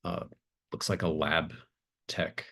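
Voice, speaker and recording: A man running a tabletop roleplaying game, narrating off the cuff in a halting way as he thinks aloud. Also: very deep voice, flat delivery, gravelly, fairly bright tone. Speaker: game master.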